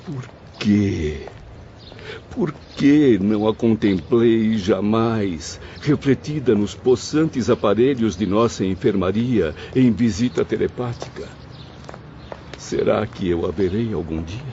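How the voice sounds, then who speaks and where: A man playing a slow, grieving male spirit. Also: fairly low pitch, expressive, dark tone, a little hiss or hum. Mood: pleading sorrow. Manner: slow, anguished, pleading. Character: grieving male spirit, a former professor longing for his mother. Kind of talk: dramatized audiobook acting. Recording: dull lo-fi audio with music behind.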